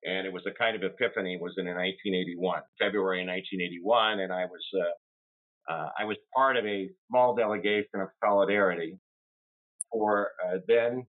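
Older male interview guest, low voice, hesitant delivery, phone-quality audio. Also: fairly even intonation. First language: English. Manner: halting, many restarts and fillers. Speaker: guest labor lawyer. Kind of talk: interview answer. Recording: tinny phone-like line.

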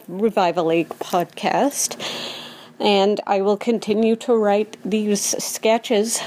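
A woman records a spoken message for podcast listeners. Her pitch is average and her pace is slow. She measures out her sentences, pausing partway through them. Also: darkish tone. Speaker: comedy writer, guest contributor.

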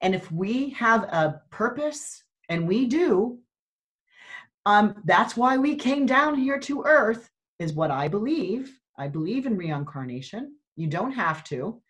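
A woman's voice: medium pitch, very animated, slow. A woman in her sixties, a coach and podcast guest, talking earnestly and reflectively about purpose, often restarting her sentences. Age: 60s. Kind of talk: casual spiritual conversation.

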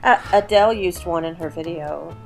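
A woman co-hosting a podcast in casual chat, raspy and half losing her voice from a cold, laughing often. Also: fairly low pitch, normal speed, over music. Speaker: podcast co-host.

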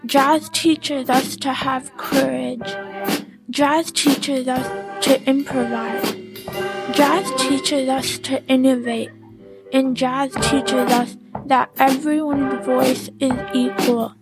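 Young female narrator, high voice, unhurried careful reading over loud music. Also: poor audio. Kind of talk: scripted narration. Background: music under the voice.

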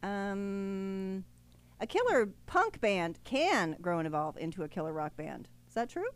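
A woman's voice: medium pitch, big pitch swings, unhurried. A woman hosting an interview show, talking in a playful, teasing way. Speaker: host. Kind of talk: casual interview chat.

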